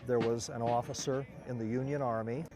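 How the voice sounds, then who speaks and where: A man's voice: medium pitch, fairly even intonation, a little fast. A man telling a story in a narrative style. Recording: music behind the voice.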